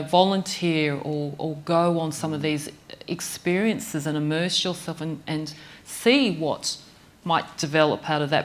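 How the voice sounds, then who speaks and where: A woman speaking on a discussion panel in a gentle, reflective way. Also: low voice.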